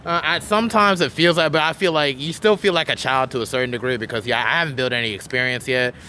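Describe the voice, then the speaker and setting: A man's voice: mid-range voice, steady tone, fast talker, bright, forceful tone, slight background noise. A man in his twenties hosting a podcast, chatting casually and telling his own story in an earnest, talkative way. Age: 20s. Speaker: podcast host. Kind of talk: casual interview chat.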